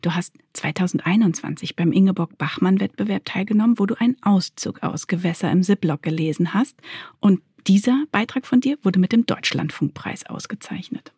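A woman's voice: medium pitch, lively intonation, a little fast, dark tone, husky, dry recording, studio quality. A woman hosting a podcast, reading prepared introductions and putting questions to a guest. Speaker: podcast host.

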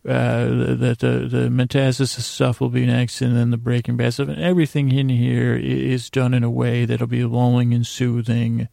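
Very creaky, meandering man's voice. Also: medium pitch, monotone, mellow, no room sound. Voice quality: creaky, gravelly. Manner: meandering, hesitant, with long pauses and stammered restarts. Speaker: host of a bedtime story sleep podcast. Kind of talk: rambling, soothing bedtime monologue. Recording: faint music under a dry voice.

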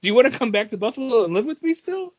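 A man's voice: extremely high pitch, very animated, racing, dull audio, crowd noise. A man co-hosting a podcast in casual chat, telling anecdotes and acting out little exchanges as he goes. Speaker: podcast co-host.

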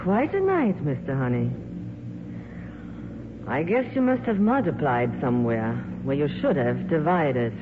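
A woman's voice: deep voice, sing-song, unhurried. Wry woman passenger, swooping intonation. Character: worldly, wry female airline passenger. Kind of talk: 1950s radio drama acting. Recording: tinny, noisy old radio broadcast.

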